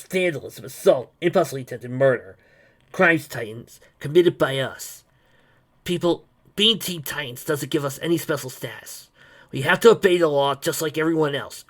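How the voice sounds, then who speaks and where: A man voicing an earnest, righteous young superhero team leader, emphatic and scolding. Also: on the high side.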